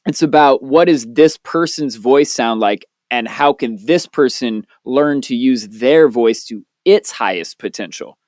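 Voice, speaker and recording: A man's voice: medium pitch, measured pace, hardly any pauses, dry recording, clean studio sound. A young man, a podcast co-host, chatting casually, swearing freely and telling stories with energy. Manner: laid-back, swears freely, animated storytelling. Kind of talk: casual chat.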